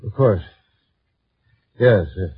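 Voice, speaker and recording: An actor playing a bitter, defeated husband in a 1950s radio drama, slow, resigned and hesitant. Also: male voice, fairly low pitch, breathy edge, full character voice. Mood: subdued, resigned. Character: bitter, defeated husband caught after attempted murder. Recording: dull, muffled 1950s radio recording.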